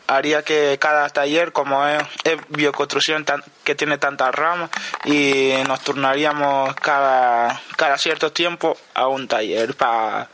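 A young man with a Canary Islands accent, a camp participant who answers slowly and hesitantly, searching for words. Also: fairly high pitch, pushed and bright, a little hiss or hum. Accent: Canary Islands Spanish.

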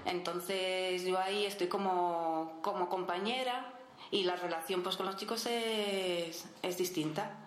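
Soft-spoken Canarian woman in an echoey room. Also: lowish voice, fairly even intonation, slight background noise. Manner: warm, unhurried, halting with restarts. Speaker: interviewee, caregiver in a children's home. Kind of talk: radio interview, casual answers.

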